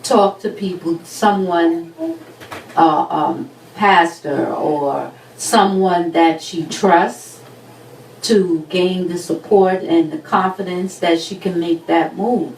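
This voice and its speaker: Woman calmly giving advice, roomy echo, a bit far from the mic, faint noise. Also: lowish voice. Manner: measured, advising. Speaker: panelist. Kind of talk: advice in a talk show.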